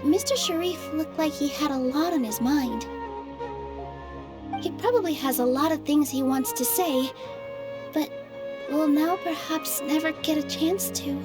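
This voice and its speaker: Soft-spoken young woman, high voice. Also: unhurried, long pauses, music in the background. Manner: gentle, earnest storytelling. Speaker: game character dancer. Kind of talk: voiced game dialogue.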